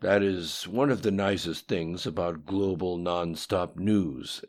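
A man's voice: low voice, slow pace. A man voicing a menacing, disembodied supernatural tyrant in an audiobook, with a commanding, scolding manner. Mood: cold, calculating. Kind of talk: audiobook dialogue acting.

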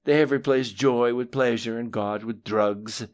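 A man voicing a smooth, deferential male schemer in an audiobook, with a formal and measured manner. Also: mid-range voice, fairly even intonation, darkish tone, voice pushed up, close to an everyday voice. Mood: cynical. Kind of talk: audiobook character dialogue.

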